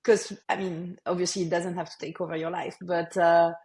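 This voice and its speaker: A woman in her forties with a French accent, a marketing executive talking through her career in a casual podcast interview, pausing often and restarting her sentences. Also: lowish voice, level tone, darkish tone. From France. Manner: thoughtful, lots of restarts and small laughs.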